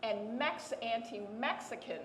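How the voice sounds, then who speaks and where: Animated woman panelist, echoey room. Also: on the high side, a little slow, a little hiss or hum. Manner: animated storyteller, repeats words for emphasis. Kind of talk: storytelling on a panel.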